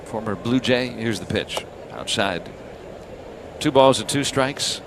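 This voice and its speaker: A man calling baseball play-by-play on live radio in unhurried, clipped phrases. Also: mid-range voice. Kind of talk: live radio sports commentary. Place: baseball ballpark broadcast booth. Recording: clear crowd and ambient noise. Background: outdoor stadium ambience.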